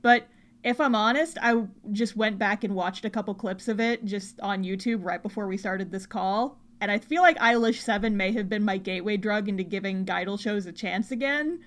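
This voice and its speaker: A woman co-hosting a podcast, chatting casually in a playful, expressive and slangy way. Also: medium pitch, moderate pace. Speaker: podcast co-host. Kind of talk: casual chat about anime.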